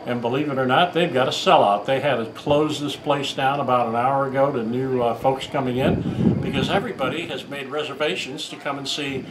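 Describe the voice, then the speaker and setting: Playful showman over loud background noise. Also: medium pitch, steady tone, fairly quick. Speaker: magician, guest. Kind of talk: casual showman chat. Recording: loud room noise behind.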